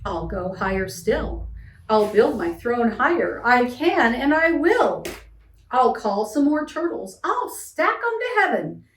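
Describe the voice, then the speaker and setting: A woman's voice: on the high side, very animated, slow, slight background noise, exaggerated voice. A woman voicing a proud, boastful turtle king in a rhyming storybook, with a pompous, bellowing manner. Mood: outraged, determined. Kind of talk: rhyming picture-book read-aloud. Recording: echoey room, distant mic.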